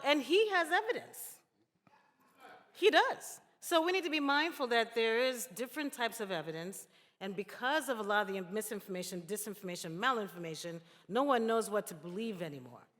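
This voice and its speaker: A woman's voice: on the high side, a bit bright. A woman making pointed, emphatic impromptu remarks at a public discussion. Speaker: audience discussion participant. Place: large indoor hall at a live event. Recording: echoey hall with long reverb.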